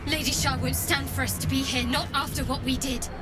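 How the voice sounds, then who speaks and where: Haunted young cleric woman actress, fairly high voice, halting and distressed fantasy game delivery. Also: fairly even intonation, a loud crowd, lightly acted. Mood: fearful, urgent. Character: young outcast dark-goddess cleric woman, anguished and haunted. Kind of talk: video game dialogue acting. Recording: music behind the voice.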